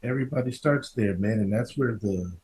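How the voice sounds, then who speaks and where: A man's voice: fairly low pitch, fairly quick, a little mellow, husky. A man hosting a podcast in casual conversation, emphatic and given to repeating his phrases, with some background noise behind him. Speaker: podcast host. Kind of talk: casual interview chat.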